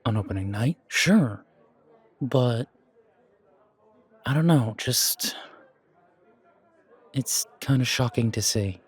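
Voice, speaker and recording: A man's voice: medium pitch, animated, slow, pauses often, husky. A man playing a self-doubting chef in an audio roleplay, with a casual, hesitant, slangy way of talking. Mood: nervous. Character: self-doubting male chef, casual dreamer opening his restaurant. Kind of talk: fan audio roleplay acting.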